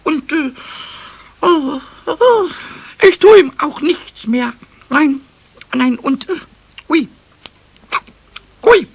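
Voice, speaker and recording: A man voicing a cheeky, childlike little kobold in a children's radio play, high and squeaky, stammering and repeating words. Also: animated, very slow, vocal fry, pauses often, exaggerated voice. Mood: sheepish.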